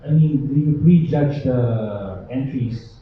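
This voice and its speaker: A male reporter slowly works his way through a question, pausing on fillers. His voice comes through thin and phone-like. It echoes heavily around a large hall. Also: mid-range voice, a little hiss or hum. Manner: hesitant, many fillers. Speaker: magazine reporter. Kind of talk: asking a question at a press conference.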